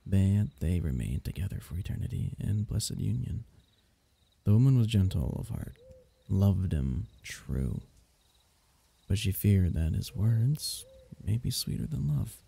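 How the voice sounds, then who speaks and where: A man acts out a royal diviner from a fantasy bedtime roleplay, gentle and flirtatious. His voice is low and very dark, with a gravelly creak. He speaks intimately, in courtly, teasing phrases. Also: sing-song, unhurried, full character voice. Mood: fond storytelling. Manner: tender, teasing, courtly old-fashioned phrasing, soft chuckles.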